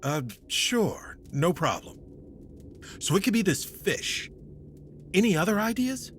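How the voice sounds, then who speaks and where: A man's voice: high-pitched, animated, slow pace, slight background noise. A man playing a hesitant, awkward but determined young novice knight in an audio drama. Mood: tentative.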